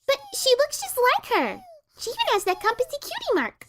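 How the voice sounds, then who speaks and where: An excitable young female cartoon pony, gushing and hyperactive. The voice is extremely high and leaps around in pitch. There is some noise in the recording. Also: brisk, heavily acted. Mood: earnest, anxious. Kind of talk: dramatic reading of a cartoon fan story.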